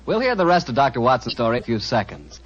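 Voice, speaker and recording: An American man announcing on 1940s radio, delivering a sponsor pitch in a folksy, salesmanlike way. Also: big pitch swings, fast talker, loud music behind the voice. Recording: dull vintage radio recording.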